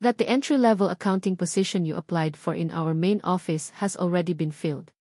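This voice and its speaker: A woman's voice: fairly low pitch, a little fast, dark tone, no echo, clean studio sound. A woman voicing a formal, businesslike female HR caller in an audio story.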